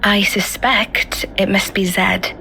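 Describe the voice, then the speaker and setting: An actress playing a wise, dignified queen in a game cutscene, speaking formally and cryptically with breathy line endings. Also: mid-range voice, steady tone, takes it slowly, pushed and bright, whispered, exaggerated voice, rough recording. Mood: solemn, cryptic. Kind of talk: video game cutscene acting.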